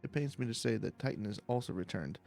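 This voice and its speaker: A man narrates a calm video game playthrough, reading the story text aloud. His mid-pitched voice has a creaky, gravelly edge. He keeps a relaxed manner, with occasional stumbles, over faint music. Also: fast talker. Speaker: let's play streamer.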